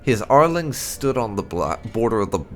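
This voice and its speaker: A man reading fantasy lore aloud as dramatic narration, in a deliberate storyteller's delivery. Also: on the low side, sing-song. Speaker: gameplay channel host. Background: music.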